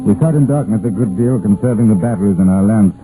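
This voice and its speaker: An actor playing a steady, grim police inspector in an old radio crime drama, with measured, sombre delivery. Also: male voice, fairly low pitch, natural voice, rough recording. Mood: grim, reflective. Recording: vintage 1950s radio broadcast, music behind.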